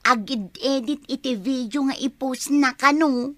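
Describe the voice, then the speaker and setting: A woman's voice: high voice, a little slow, fairly bright tone, no echo. A woman playing a gossipy village woman who likes to grumble, in a comedy radio drama. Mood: gossipy, indignant.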